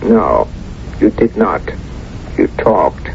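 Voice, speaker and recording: Slow, suave, menacing villain. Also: male voice, deep voice, big pitch swings, gravelly, heavily acted. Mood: gloating menace. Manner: measured, smug, deliberate. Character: suave, menacing male former collaborator turned torturer. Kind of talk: 1970s radio drama acting. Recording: muffled, hissy old radio recording.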